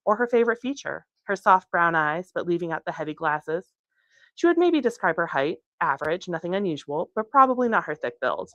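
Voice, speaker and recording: A woman reads fiction aloud in an expressive storytelling style. Her voice sits on the low side, and the sound is close and dry, with little room in it. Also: dry recording. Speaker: author reading from a novel. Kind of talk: expressive audiobook-style reading. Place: bookstore reading.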